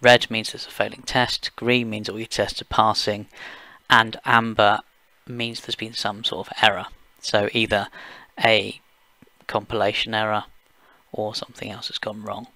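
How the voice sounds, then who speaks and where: A man from the UK talking through a software screencast step by step, plain and explanatory, with occasional fillers. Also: fairly low pitch, level tone, measured pace, vocal fry, no echo, rough recording. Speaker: software engineer giving a tutorial. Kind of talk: screencast walkthrough voice-over.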